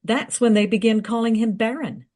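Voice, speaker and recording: An actress playing a confident, eager researcher in a radio play, recounting history in a teasing, matter-of-fact way. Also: female voice, on the high side, normal speed, darkish tone, lightly acted. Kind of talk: radio play dialogue, storytelling.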